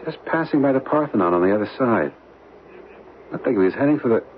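An actor playing an American husband and tourist photographer in an old-time radio drama, talking haltingly and reassuringly as he brushes off worries. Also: mid-range voice, lively intonation, lightly acted. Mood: uneasy. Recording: muffled, noisy old radio broadcast.

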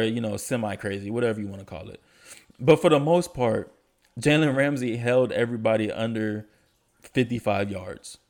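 A young man hosting a casual sports podcast, stuffy from allergies, talking in a laid-back, slangy way. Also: medium pitch. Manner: laid-back, slangy, enthusiastic about stats. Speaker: podcast host.

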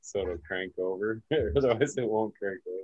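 Laid-back, slangy man with a lowish voice. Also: normal speed, a little mellow, no echo, muffled recording. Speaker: podcast co-host. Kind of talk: casual chat.

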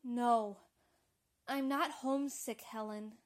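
A woman's voice: a little high, steady tone, slow. A woman playing a troubled, reticent young telephone operator in an old-time radio drama, polite and hesitant. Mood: evasive.

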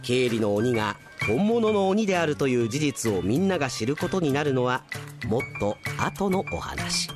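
Theatrical man narrating, animated pitch. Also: normal speed, music in the background. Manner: theatrical storytelling. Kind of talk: scripted radio drama narration.

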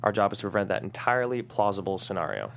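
A man reading an exasperated, earnest young gaming-team manager with a halting delivery in a comedy table read. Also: lowish voice, big pitch swings, creaky, a little hiss or hum.

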